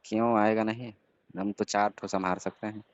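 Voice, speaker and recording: Deep, flat-toned man on an echoey call. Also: normal speed. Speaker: caller. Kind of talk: casual flirtatious phone chat. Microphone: phone call. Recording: band-limited phone call recording with echo.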